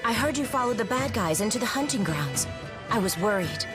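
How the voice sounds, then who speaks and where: A woman's voice: medium pitch, animated, fairly quick, over music, lightly acted. An actress playing an anxious young woman in a video game, pleading and defensive. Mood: apologetic. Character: anxious young woman, engaged, hiding a shameful past.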